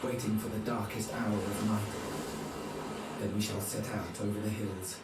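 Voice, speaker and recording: An actor playing a pious medieval monk narrating his journal for a video game in a formal, measured way. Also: lowish voice, fairly even intonation, distant mic, very noisy, lightly acted. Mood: solemn, reflective. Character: male medieval monk chronicler, pious, solemn. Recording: very echoey, music behind.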